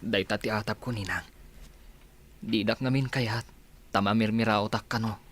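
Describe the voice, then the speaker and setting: A man's voice: on the low side, steady tone, normal speed, a bit bright. Actor as a meek, aggrieved Filipino young man. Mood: sad, confiding. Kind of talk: Filipino radio drama acting.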